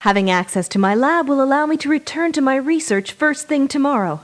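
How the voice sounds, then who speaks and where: An actress playing an earnest, polite woman scientist in a game, giving formal, measured briefings. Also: high voice, lively intonation. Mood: concerned, serious. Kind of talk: scripted game voice acting, briefing.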